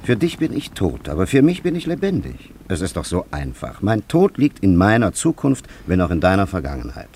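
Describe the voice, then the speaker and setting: A proud time traveller from an ancient kingdom in a radio play. His very low voice swoops widely as he lectures pompously. He talks down to his listeners in grand declamations. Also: wildly swooping pitch, brisk, few pauses, a little mellow, slight background noise. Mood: impatient. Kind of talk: German radio play acting.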